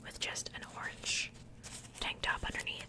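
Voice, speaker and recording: A woman speaking in a soft, breathy whisper, chatting casually and unhurriedly in the style of an ASMR unboxing. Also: moderate pace. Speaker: ASMR video creator.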